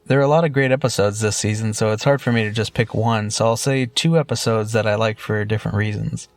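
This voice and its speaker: A man's voice: lowish voice, fairly even intonation, quick pace, no echo. A man with an American accent giving prepared commentary on television episodes as a listener chiming in. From Los Angeles, USA.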